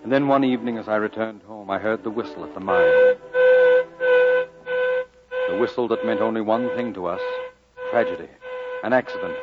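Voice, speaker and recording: A lowish male narrator, solemn and wistful, with wide dramatic inflection. Music plays behind him on a dull vintage radio recording. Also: wildly swooping pitch, moderate pace, long pauses. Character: grave, wistful grown man recalling his Welsh boyhood.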